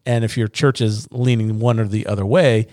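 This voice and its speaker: A man's voice: on the low side, fairly even intonation, a little mellow, no room sound. Joking forties man, dry close sound. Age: 40s. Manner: joking, teasing, halting restarts. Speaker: podcast host. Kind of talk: casual church leadership chat.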